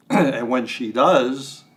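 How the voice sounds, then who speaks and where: An older man chatting as an interview guest, talking in a halting way with self-deprecating humor. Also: medium pitch. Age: 60s or older. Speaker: guest writer. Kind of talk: casual interview. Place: radio studio.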